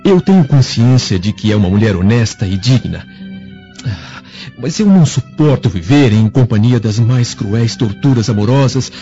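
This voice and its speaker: A man's voice: mid-range voice, animated, moderate pace, warm tone, close to an everyday voice. An actor with a Brazilian Portuguese accent playing an enigmatic, courteous man of hidden passion in a radio drama, with formal, ornate delivery. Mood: anguished longing. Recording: muffled radio drama recording with music.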